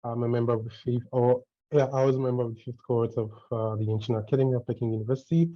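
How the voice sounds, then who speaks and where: A man from Nigeria introducing himself as an alumnus panelist and doctoral student on a video call, speaking in a brisk, flat way. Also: mid-range voice, no echo. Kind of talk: self-introduction on a webinar. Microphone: computer mic on a video call. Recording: dry, dull lo-fi call audio.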